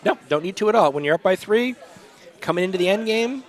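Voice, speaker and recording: A man's voice: high voice, lively intonation, fast talker. A man from New England doing casual, wry play-by-play commentary on a curling match. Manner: chatty, wry, stumbles over names.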